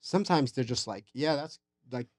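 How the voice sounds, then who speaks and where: A man who is a podcast guest, talking bluntly and relaxed, swearing casually. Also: mid-range voice, normal speed, dry recording, clean studio sound. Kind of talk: casual conversation.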